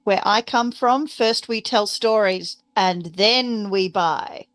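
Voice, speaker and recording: A woman's voice: medium pitch, slow, fairly bright tone. A woman improvising playfully as a player in a tabletop roleplaying game.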